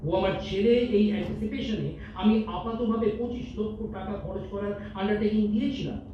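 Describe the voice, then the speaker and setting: A man acting a troubled, soft-hearted managing director in a staged audio drama, speaking emotionally with dramatic pauses. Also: high voice, steady tone, slight background noise, close to an everyday voice. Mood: anxious, torn. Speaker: amateur stage actor in an audio drama competition. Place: multipurpose hall stage. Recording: echoey hall, distant mic, dull sound.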